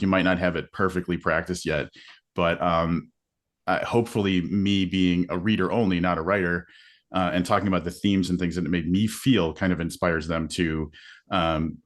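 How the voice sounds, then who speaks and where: Deep-voiced man, chatty and hesitant. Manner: conversational, hesitant with many ums. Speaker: podcast host, panel guest. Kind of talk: casual panel conversation. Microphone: video call.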